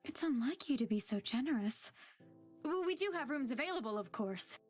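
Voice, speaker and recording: Game role: nagging, affectionate innkeeper, high and animated, music underneath. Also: female voice, normal speed. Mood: fond, nagging. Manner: chiding yet fond. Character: fond, scolding female innkeeper. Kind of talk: visual novel voice acting.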